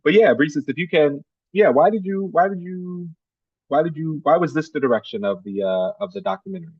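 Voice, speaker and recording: A man's voice: a little high, animated, brisk, slightly rough, dry recording. A man moderating a panel discussion over a video call, talking casually with many restarts and fillers. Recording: dull lo-fi dry call audio.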